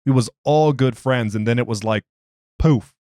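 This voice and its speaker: A man's voice: medium pitch, normal speed, mellow, dry recording, clean studio sound, natural voice. A man voicing an agitated, streetwise prisoner who argues his case in slangy, ungrammatical, exasperated speech. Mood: frustrated. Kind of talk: dramatized interview log.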